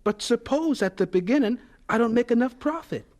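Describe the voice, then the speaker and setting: Earnest, high-voiced Southern teenage boy. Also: expressive, a little fast, darkish tone. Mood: pleading, earnest. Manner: polite, earnest. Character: earnest, gentle, eager sixteen-year-old Southern boy. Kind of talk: radio drama acting.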